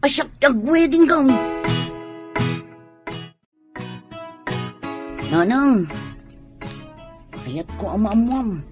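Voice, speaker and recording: A woman's voice: high-pitched, full character voice. A woman acting an earnest, plaintive tomboy sweetheart in a radio drama. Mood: distressed, resigned. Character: lovestruck tomboy sweetheart, earnest, reluctant to wear a gown.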